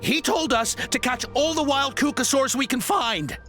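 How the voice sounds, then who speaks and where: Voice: sits high, normal speed, fairly bright tone, soft background music. Stammering cowardly poacher. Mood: panicky. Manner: stammering, whiny. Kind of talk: game dialogue.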